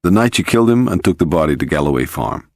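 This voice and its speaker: Man voicing Arthur Grover, low menacing blackmailer. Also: animated, fast, no echo, studio quality. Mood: cool menace.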